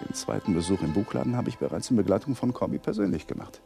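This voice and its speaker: Deep, creaky, brooding lawyer. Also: male voice, lively intonation, fast talker, music in the background. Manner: musing, self-justifying. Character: brooding, calculating young lawyer thinking aloud. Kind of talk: radio drama inner monologue.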